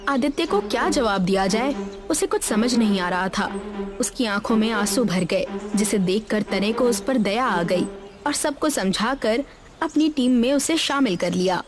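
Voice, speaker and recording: A woman's voice: on the high side, lively intonation, unhurried. A woman reading a scripted children's story in a narrator's role. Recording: music behind the voice. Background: background music.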